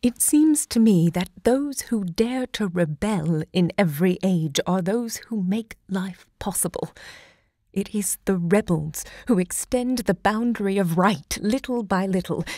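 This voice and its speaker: An actress playing a self-assured, romantic literary hostess and seductress in an audio drama, theatrical and eloquent in delivery. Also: female voice, medium pitch, lively intonation, measured pace, a little mellow, no echo, clean studio sound, lightly acted. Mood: proud, impassioned.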